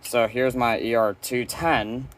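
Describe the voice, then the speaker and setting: A man's voice: mid-range voice, steady tone, slow pace, noisy background. A man giving a casual, rambling, offhand hands-on demo of his collection, presenting as a hobby collector. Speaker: weather radio collector presenting.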